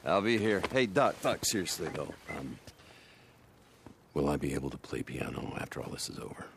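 A man playing a laid-up, joking survivor in a game cutscene, gravelly and halting. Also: rumbling low, big pitch swings, noisy background, full character voice. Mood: playful. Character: laid-up, joking male survivor, big-hearted buddy. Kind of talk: dramatic video game cutscene acting.

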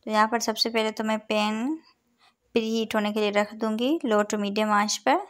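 A woman with an Urdu-influenced accent narrating a recipe step by step as a cooking channel host, calm and instructive as she counts out each measure. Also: a little high, normal speed. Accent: Urdu-influenced Hindustani. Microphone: voice-over over overhead cooking shots.

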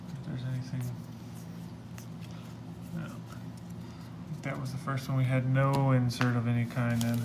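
A young man casually talking to himself while opening trading card packs at a table indoors. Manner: relaxed, muttering to himself, low-key. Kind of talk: casual solo commentary. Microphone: camera across the table. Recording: loud crinkling and room noise.

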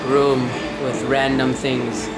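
A man's voice: mid-range voice, level tone, slow pace, heavy background noise. A man in his thirties giving a chatty, wide-eyed vlog tour of a venue, filmed on a handheld camera. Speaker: travel vlogger. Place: busy station and neon-lit venue, handheld. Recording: loud music behind.

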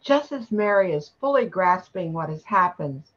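A woman's voice: fairly low pitch, animated, moderate pace, dull audio. An older woman narrating a scripted radio play.

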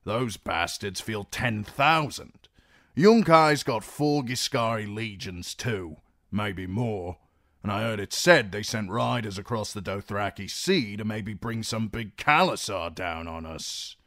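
Man playing a grizzled old mercenary captain, blunt, rough-spoken, lively delivery. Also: lowish voice, slow pace, no room sound, clean studio sound, full character voice. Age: older.

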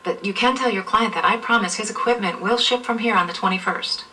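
A woman's voice: mid-range voice, fairly quick, lightly acted. A woman acting as a harassed, resigned order clerk in a workplace training drama. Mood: resigned, frustrated. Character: harassed, resigned female order clerk, anxious about her career. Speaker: actor in a training film. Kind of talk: acted corporate training drama. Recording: distant, re-filmed off a TV screen.

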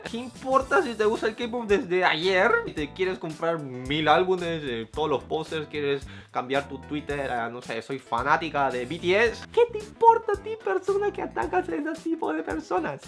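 Lively Peruvian man vlogging. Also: high voice. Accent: Peruvian Spanish, from Lima. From Peru. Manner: expressive, jokey, shifts between loud and hushed. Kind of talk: casual talking-head Q&A. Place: small indoor room.

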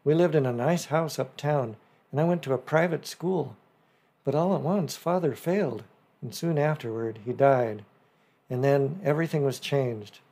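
A man's voice: mid-range voice, normal speed, warm tone, pitched above the performer's own voice, lightly acted. A man voicing a shrewd, polite fifteen-year-old newsboy who talks eagerly and respectfully.

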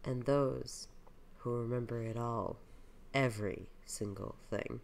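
A dubber voicing a brooding, scheming man who muses slowly and quietly over his plans in a comic dub. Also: medium pitch, creaky, slight background noise, pitched below the performer's own voice. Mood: musing, ominous.

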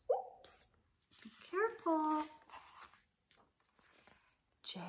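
A middle-aged woman reading a picture book aloud to children in an animated, sing-song storyteller manner. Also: high voice. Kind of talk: expressive children's read-aloud. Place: indoor room lined with bookshelves.

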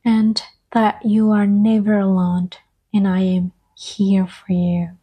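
Soft breathy asmr lady doing a calming guided meditation, really slow n gentle, whispery. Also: medium pitch. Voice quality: soft-spoken, breathy, often slipping into whisper. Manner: gentle, soothing, unhurried with long pauses.

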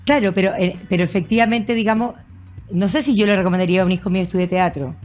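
A woman's voice: mid-range voice, fairly even intonation, fast talker, darkish tone, soft background music. A middle-aged woman with a Chilean accent, hosting a studio interview in a direct, probing, conversational way. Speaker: talk show host. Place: TV studio, seated in armchair.

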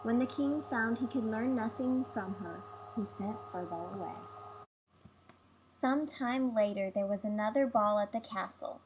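A woman narrating a fairy tale as a read-aloud storyteller, in measured storybook phrasing. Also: on the high side, a little mellow, muffled recording, a little hiss or hum. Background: music.

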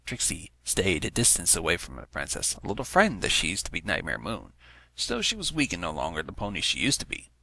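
A man reads a story aloud in a low voice with a gravelly creak. His delivery is lively and moves around in pitch as he narrates. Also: fairly quick, no echo. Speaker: fan fiction narrator.

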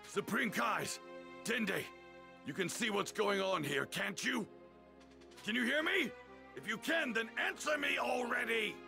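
An actor playing a proud, impatient alien warrior in an anime video game, barking orders scornfully in a gravelly, strained voice. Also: male voice, sits high, expressive, measured pace, bright, forceful tone, exaggerated voice. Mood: urgent, commanding. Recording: music under the voice.

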